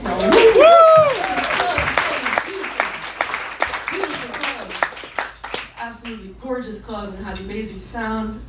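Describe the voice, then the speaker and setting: A woman in her twenties from Massachusetts, a saxophonist bandleader chatting excitedly with a club crowd between songs, laughing often. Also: on the high side. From Massachusetts, USA. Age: 20s. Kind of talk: live stage banter between songs. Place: live music club on stage. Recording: echoey club, distant mic, loud crowd and noise.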